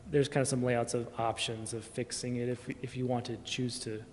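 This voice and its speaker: A man on staff giving an informal, halting explanation to a public meeting in a council chamber, repeating words as he goes. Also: mid-range voice, little pitch movement. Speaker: city staff member reporting to council. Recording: echoey chamber with faint noise.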